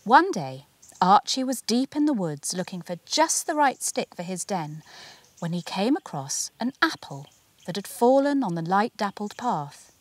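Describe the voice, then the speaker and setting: A woman narrating a children's picture book as its author, in an expressive, measured storytelling manner. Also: medium pitch, dry recording. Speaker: author reading their own picture book.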